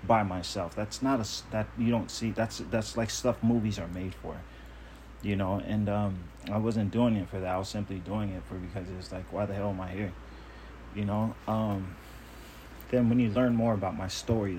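A man's voice: lowish voice, darkish tone, slight background noise, rough recording. A man talking on his own podcast in a casual monologue, earnest, self-assured and emphatic. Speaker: podcast host.

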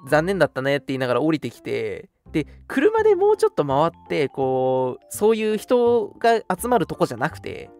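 A young adult man hosting a live web radio show, chatting in an animated, colloquial way. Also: a little high, brisk, hardly any pauses. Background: background music.

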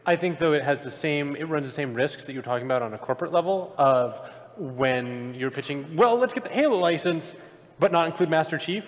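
A man's voice: fairly high pitch, a little fast. A man speaking conversationally during a live panel discussion. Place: large convention panel room. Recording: echoey convention hall microphone.

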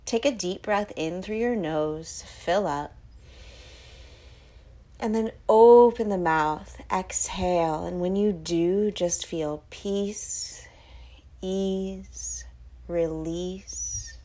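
A woman hosting a guided sleep meditation, giving soothing instructions with breathy phrases that often trail off toward a whisper. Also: on the low side, drawn-out words, mellow.

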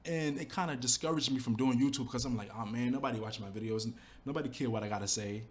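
A man's voice: medium pitch, fast talker. A young adult man, a YouTuber, talking casually and earnestly about building his channel, with lots of "you know" and quoted thoughts. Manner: earnest, animated storytelling with fillers like "you know". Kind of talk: casual reflective monologue.

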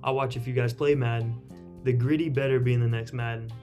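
A man's voice: medium pitch, steady tone, quick pace, darkish tone, faint music behind. A man on a sports podcast panel, casually arguing his picks and analysis.

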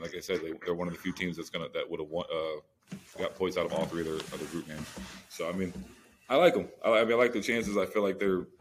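A man's voice: deep voice, a little hiss or hum. A man co-hosting a sports podcast, giving casual analysis in slangy, informal speech with repeated phrases.

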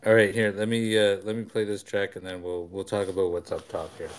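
A man's voice: on the low side, flat delivery, fairly quick, very noisy. A man co-hosting a casual chat show, laid-back, answering with short joking reactions and introducing tracks. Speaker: podcast co-host.

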